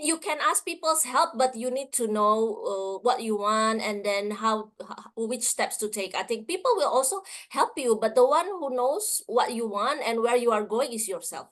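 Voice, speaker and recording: A woman's voice: fairly high pitch, lively intonation, moderate pace. A woman in her late thirties from Indonesia, speaking as a guest in an interview over a video call, with many fillers and restarts. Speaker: interview guest, finance professional.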